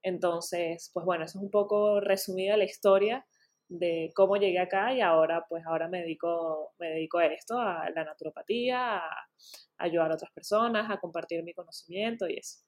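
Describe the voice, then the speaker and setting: A woman's voice: on the low side, steady tone, brisk. A woman, a naturopath guest, talking in a casual interview in an earnest way and often restarting her words.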